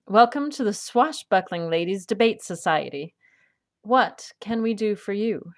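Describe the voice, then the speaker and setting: A woman playing a patient, wry adventuress and peacemaker in an audio drama, with a gentle, reassuring manner. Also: medium pitch, animated, measured pace. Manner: gentle, reassuring, dryly witty.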